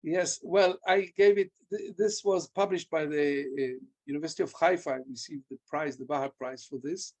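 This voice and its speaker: An older man, a Hebrew and German speaker, answering questions after a lecture over a video call from his home study, halting and restarting his words. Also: fairly high pitch, a little mellow. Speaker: guest lecturer. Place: home study lined with bookshelves. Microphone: video call, laptop webcam.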